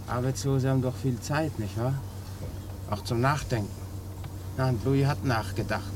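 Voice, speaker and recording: An actor playing a resigned small-time burglar confessing to police in a terse, matter-of-fact way, in a German crime radio drama. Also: male voice, mid-range voice, moderate pace, lightly acted. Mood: matter-of-fact confession. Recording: noisy old radio drama recording.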